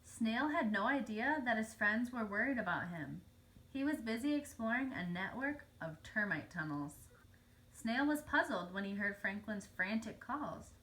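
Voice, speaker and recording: A woman reading a children's story aloud as narrator, telling it clearly for young children. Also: on the high side, expressive, measured pace, a bit bright, low-quality recording. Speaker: storybook reader.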